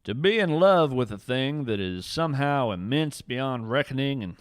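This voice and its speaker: Animated, dramatic naturalist storyteller played by a man. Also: medium pitch, moderate pace, smooth, dry recording, studio quality, lightly acted. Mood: reverent. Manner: dramatic, wryly whimsical, literary phrasing. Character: earnest folksy cryptid naturalist, adult man, wryly awed. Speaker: podcast host and narrator. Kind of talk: scripted first-person fiction narration.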